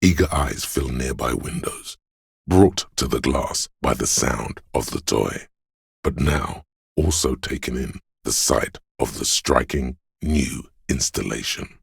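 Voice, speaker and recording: A man voicing a brooding, all-seeing spirit of a city in a video game, narrating slowly and solemnly in a gravelly, husky voice. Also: very deep voice, animated, dry recording, clean studio sound, heavily acted. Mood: brooding, observant. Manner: solemn, poetic, measured. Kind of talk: slow atmospheric second-person narration.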